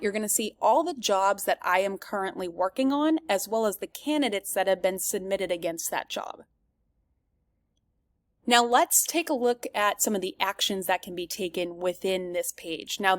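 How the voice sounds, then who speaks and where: A woman presents a product walkthrough in a medium-pitched voice. She speaks directly to viewers and explains things step by step, in the manner of a semi-scripted demo. The recording is dry, with very little room sound. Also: no room sound. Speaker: presenter giving a software demo.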